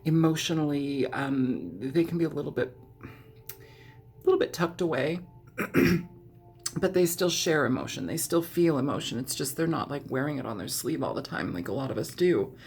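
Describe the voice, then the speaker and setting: A woman reading tarot in a chatty, meandering way, hoarse and scratchy from a cold, often clearing her throat. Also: low-pitched, moderate pace, darkish tone, soft background music. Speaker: tarot reader. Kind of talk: casual tarot reading monologue.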